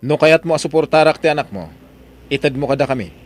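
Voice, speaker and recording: A man's voice: fairly high pitch, animated, a little fast, close to an everyday voice. A man playing a cold, irresponsible young father in an Ilocano audio drama, curt and stern, with an Ilocano accent. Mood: cold, annoyed.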